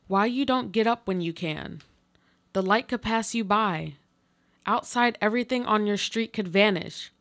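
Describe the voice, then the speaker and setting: A woman playing a frail but resilient dying woman in a live radio play, speaking in a solemn, deliberate, poetic way. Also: medium pitch, a bit bright. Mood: gently urging. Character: frail dying woman, resilient, addressing her other self.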